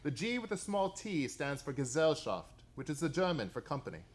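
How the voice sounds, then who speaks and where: An actor in a radio play playing a shrewd Victorian detective, brisk, precise and courteous with a superior air. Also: male voice, fairly high pitch, big pitch swings. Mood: keen, instructive.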